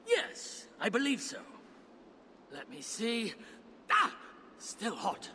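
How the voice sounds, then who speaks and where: A nervous old dwarf smith, high bright voice that swings around a lot, very polite game character. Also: male voice, wildly swooping pitch, slow, a little hiss or hum, exaggerated voice. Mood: nervous, apologetic. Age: older. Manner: deferential, nervous, formal. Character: old male dwarf smith, learned, earnest, anxious. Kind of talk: video game cutscene acting.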